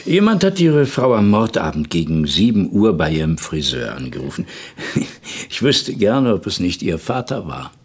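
An actor playing a shrewd police commissioner in a German radio crime play, deliberate and musing, then pointed in his questions. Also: low voice, big pitch swings, slightly rough, exaggerated voice. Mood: probing. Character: shrewd male French police commissioner, boss of the investigation.

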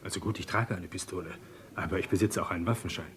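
A man's voice: low voice, fast, background noise, close to an everyday voice. A man playing a stubborn, indignant bandleader in a radio crime drama.

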